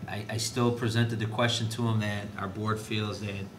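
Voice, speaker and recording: A man speaking as an administrator at a school board meeting, explaining in a halting way with restarts. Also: little pitch movement. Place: indoor board meeting room. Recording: noisy, echoey meeting room with low rumble.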